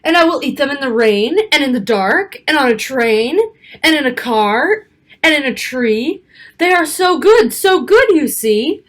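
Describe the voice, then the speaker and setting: A woman reading a rhyming children's picture book aloud in an expressive, rhythmic way. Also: sits high, measured pace. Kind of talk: animated children's story read-aloud.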